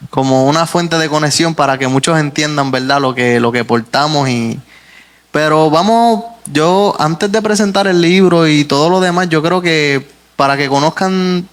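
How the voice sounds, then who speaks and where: A Puerto Rican man hosts a faith podcast, speaking at a slightly raised pitch. He leads the talk with earnest, reflective questions. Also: steady tone, normal speed. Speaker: podcast host.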